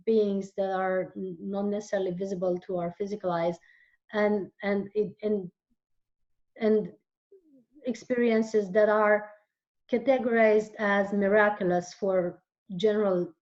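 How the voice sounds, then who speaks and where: A woman whose first language is Romanian, answering interview questions as a guest author in a slow, searching way with frequent restarts. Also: medium pitch, a little mellow, lo-fi sound.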